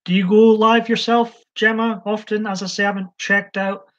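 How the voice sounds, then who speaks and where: A man's voice: high voice, flat delivery, moderate pace. Chatty man on a dull lo-fi stream. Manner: chatty, hesitant, many ums, answers chat comments. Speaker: live-stream host. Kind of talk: casual live-stream chat with viewers.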